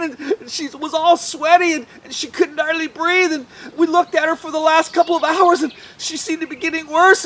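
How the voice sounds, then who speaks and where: A woman's voice: high-pitched, slight background noise, voice pushed up, lightly acted. A woman voicing a desperate pleading father. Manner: stammering, urgent, rising to loud pleading. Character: desperate middle-aged father, synagogue leader, pleading. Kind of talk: children's Bible storytelling.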